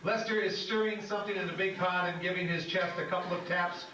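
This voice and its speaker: Male stage-directions reader, amused screenplay narration, higher-pitched, captured distantly with loud background noise and heavy echo. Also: steady tone, fast talker. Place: live comedy club staged reading. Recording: very echoey, noisy, distant stage recording.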